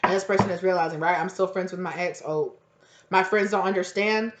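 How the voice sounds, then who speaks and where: A woman giving a casual tarot reading, hoarse and close to losing her voice, rambling in slang and acting out little quoted exchanges. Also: fairly low pitch, fairly even intonation, a little fast, very noisy. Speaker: tarot reader. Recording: echoey, dull lo-fi room recording.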